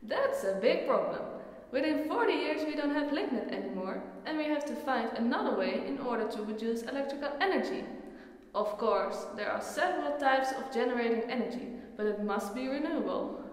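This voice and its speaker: A woman's voice: high voice, lively intonation, brisk. A woman playing a knowledgeable, earnest friend who patiently explains things in a scripted educational film dialogue. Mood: earnest, concerned. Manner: explanatory, instructive. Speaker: character in a student educational film. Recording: very echoey hall-like reverberant room.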